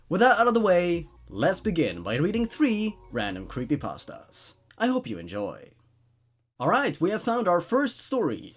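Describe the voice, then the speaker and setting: A man's voice: medium pitch, a little mellow. A man hosting a show where he reads horror stories aloud with dramatic narration and laid-back commentary.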